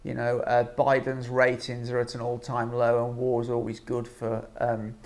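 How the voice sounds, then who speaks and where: A man giving informal opinion commentary as an online vlogger, speaking in a halting, hesitant way with frequent ums. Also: medium pitch, monotone.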